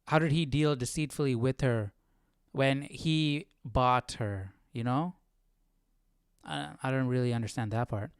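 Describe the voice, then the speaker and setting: Smooth, dry-sounding man thinking out loud. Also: medium pitch, measured pace, no echo. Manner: musing, hesitant, self-questioning. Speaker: livestream Bible study host. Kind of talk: informal reading aloud and thinking out loud.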